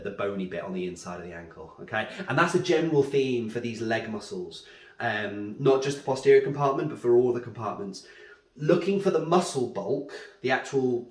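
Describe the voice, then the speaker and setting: A man with a head cold, teaching anatomy at a board and often checking in with a questioning "yeah?". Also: medium pitch. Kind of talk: whiteboard anatomy lesson. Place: room with a drawing board. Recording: echoey room.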